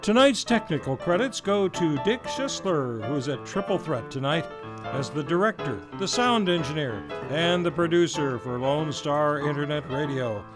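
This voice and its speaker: A man's voice: a little high, animated, normal speed. Formal male radio host over music. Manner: polished, formal announcer delivery. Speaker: series host. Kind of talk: scripted closing credits announcement. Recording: music playing underneath.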